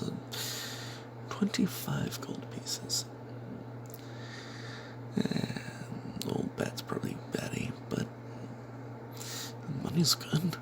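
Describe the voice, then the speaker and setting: Man playing a sly whispering, gravelly rogue cleric. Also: medium pitch, heavily acted. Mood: dismissive, scheming. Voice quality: breathy, mostly whispered. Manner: confiding, hesitant, sardonic asides. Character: roguish, money-minded cleric adventurer, snide and sly. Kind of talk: first-person fantasy tale told in whispers, ASMR.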